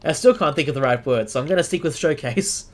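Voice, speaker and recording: An Australian man hosting a live stream, chatting casually with viewers and often pausing to search for the right word. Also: a little high, expressive. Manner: chatty, searches for words, self-deprecating.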